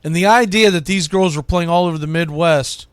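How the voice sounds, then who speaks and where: A middle-aged man with a slightly high voice, recorded close and dry. He talks like a sports radio host making his case, emphatic on the key points and folksy in his asides. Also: brisk, clear, resonant tone, dry recording. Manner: emphatic, announces each item loudly then drops into quieter musing. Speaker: radio host and baseball play-by-play announcer. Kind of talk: opinionated sports monologue counting down a list.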